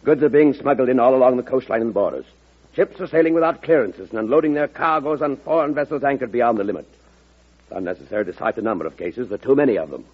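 An actor playing a blunt, skeptical, practical cabinet secretary in an old-time radio drama, argumentative and dry. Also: male voice, medium pitch, animated, quick pace, slightly rough. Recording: muffled vintage radio drama recording.

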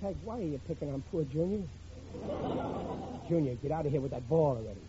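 A man's voice: fairly high pitch, animated, a little fast, a crowd in the background, natural voice. An actor playing a blustering, bumbling working-class father in an old radio situation comedy, stammering out excuses in slangy, ungrammatical talk. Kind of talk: 1940s-50s radio situation comedy acting. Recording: dull old radio recording, faint noise.

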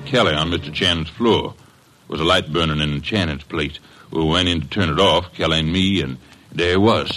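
An actor in a classic radio drama playing a plain-spoken working-class night porter. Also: male voice, deep voice.

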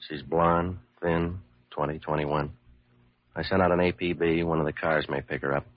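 A man's voice: very deep voice, fairly even intonation, normal speed, no echo. A man acting a cynical, world-weary police lieutenant in a classic radio drama, blunt and slangy. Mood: matter-of-fact. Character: cynical, hard-boiled male police lieutenant. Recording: muffled old radio recording.

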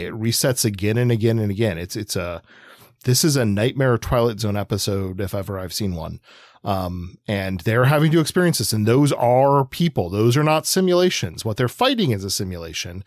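A man's voice: medium pitch, no room sound. A man with a mid-range voice chats as a podcast co-host. His delivery rambles, with emphatic asides along the way, and the recording is close and dry. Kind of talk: casual comic book discussion.

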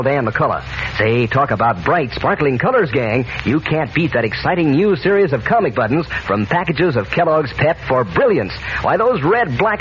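Animated, high-voiced American radio pitchman. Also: a little hiss or hum. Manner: chummy, enthusiastic. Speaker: announcer and sponsor pitchman. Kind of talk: folksy 1940s radio ad pitch to children. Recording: muffled vintage radio recording, music behind.

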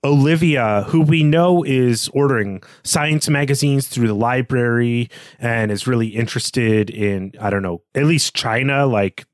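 A man of average pitch chats as co-host of a literary podcast. He speculates aloud and builds his reasoning step by step in a conversational way. The recording is dry and free of room sound. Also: measured pace, hardly any pauses, no room sound.

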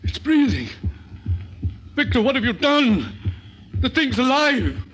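An actor playing a devout, fearful minister, earnest and pleading, in the emphatic style of 1950s radio drama. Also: male voice, very high-pitched, sing-song, slow, fairly bright tone, breathy edge, heavily acted. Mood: horrified.

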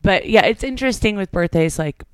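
A woman's voice: medium pitch, expressive, fairly quick, smooth, no echo, clean studio sound. A woman in her thirties from Michigan, chatting casually as a podcast co-host and filling her talk with "like". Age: 30s. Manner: chatty, fills speech with "like". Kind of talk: casual chat.

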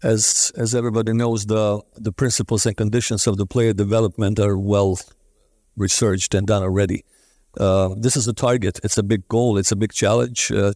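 Gravelly low guy, dark tone, formal and deliberate on a panel, dry mic. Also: dry recording. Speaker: football federation technical director. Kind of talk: conference panel talk. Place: conference stage.

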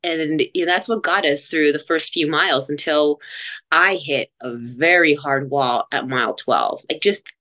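A woman's voice: deep voice, unhurried. A woman in her late thirties from Los Angeles, a guest on a podcast interview, telling her story animatedly with restarts and quoted thoughts. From Los Angeles, USA. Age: late 30s. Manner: animated, rising to excited peaks when recalling; frequent restarts and quoted inner speech. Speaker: podcast guest, marathon runner.

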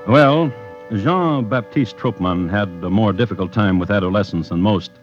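A man narrating true crime as a radio host in a deliberate, dry, wry storytelling style from mid-century radio. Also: lowish voice. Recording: muffled vintage radio, music behind.

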